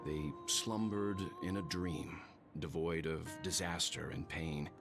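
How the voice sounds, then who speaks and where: A solemn figure who speaks slowly and with great weight. His voice sits very low. Game music runs clearly under him. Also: fairly even intonation, heavily acted. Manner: slow, measured, grave. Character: solemn man telling a homeland parable. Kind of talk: game voice acting.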